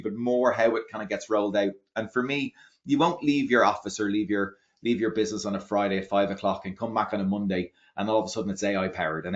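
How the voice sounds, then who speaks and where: An Irish man with a lowish voice and a brisk pace walks an audience through a webinar in a chatty, energetic way. He keeps checking in with the listeners as he talks. The sound is dull and lo-fi, like a video call. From Ireland. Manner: energetic, chatty, tags sentences with "right?". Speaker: webinar presenter, product marketing executive. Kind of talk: conversational webinar presentation.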